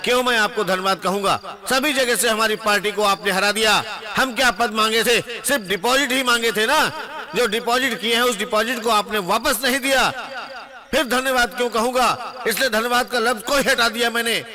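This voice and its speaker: An actor playing a glib, small-time party orator in dubbed film dialogue, wordy and declamatory. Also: male voice, very high-pitched, a little fast, a crowd in the background. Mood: oratorical, then mock aggrieved. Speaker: small-party political speaker.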